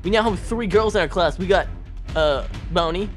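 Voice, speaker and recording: A man playing an excitable, bossy schoolboy in an improvised Minecraft roleplay, rambling and slangy. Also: sits high, moderate pace, faint music behind, close to an everyday voice. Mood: joking, chatty. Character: excitable bossy schoolboy, loyal friend in a monster daycare.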